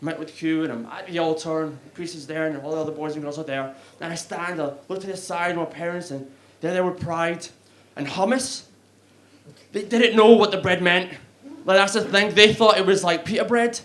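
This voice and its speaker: A man's voice: on the high side, steady tone, fairly quick, a bit bright. A young man with a Glaswegian Scottish accent doing a stand-up comedy set on a club stage, chatty and self-mocking, often laughing at his own jokes. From Scotland.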